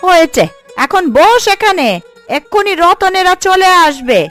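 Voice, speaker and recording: A woman's voice: very high voice, expressive, measured pace, fairly bright tone, soft background music, full character voice. A woman voicing a bossy, affectionate elderly village aunt in a cartoon, with an emphatic, scolding, colloquial manner. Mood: firm, motherly. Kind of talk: Bengali cartoon story dubbing.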